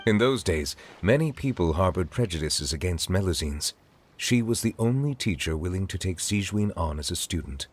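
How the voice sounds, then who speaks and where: An actor playing a dignified, courteous chief judge in a video game, speaking in formal, measured, earnest phrases. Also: male voice, deep voice, expressive, husky. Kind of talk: video game character dialogue.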